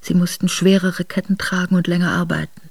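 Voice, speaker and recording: An actress playing an earnest, reflective exiled noblewoman, narrating her memoir in a measured way for a radio drama. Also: fairly low pitch, little pitch movement, creaky, breathy edge, no room sound. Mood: grave, reflective. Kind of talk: literary first-person radio drama narration.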